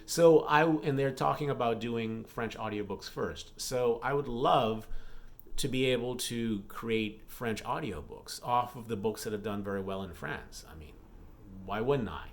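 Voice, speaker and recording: A man's voice: mid-range voice, measured pace, gravelly. A man talking casually in a solo vlog update as an author, rambling and thinking aloud as he goes. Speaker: author and vlogger. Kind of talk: casual chat, business update monologue.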